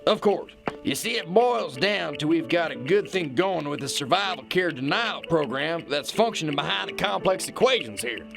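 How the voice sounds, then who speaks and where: Man playing a high-voiced pompous kingpin with creaky swagger. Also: lively intonation, moderate pace, music in the background. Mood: scheming, persuasive. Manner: pompous, folksy, wheeling and dealing. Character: smug, pompous male kingpin scheming over capital gain. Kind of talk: absurdist satirical audio play acting.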